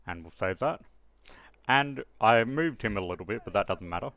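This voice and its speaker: Low-voiced man walking through a tutorial. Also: expressive, normal speed, fairly bright tone. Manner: step-by-step, informal explaining. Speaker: tutorial presenter. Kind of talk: casual screen-recorded software tutorial narration.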